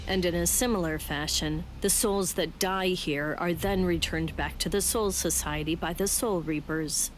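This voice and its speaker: An actress playing a calm, grave woman recounting an old conflict in a measured way for an anime game dub. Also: on the low side, background noise, close to an everyday voice. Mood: measured, explaining. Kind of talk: English anime game dub, expository storytelling. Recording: loud background music under the voice.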